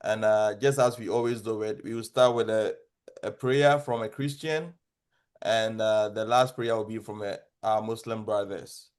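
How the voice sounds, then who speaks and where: A man's voice: fairly low pitch, fairly even intonation, moderate pace. A man with a Ghanaian accent hosting and moderating an informal online group meeting.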